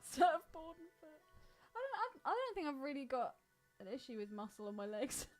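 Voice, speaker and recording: Lively woman podcaster, voice kinda high and swooping around, crude casual banter, some music behind. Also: a little fast. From Kingston. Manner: irreverent, crude joking. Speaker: podcast co-host.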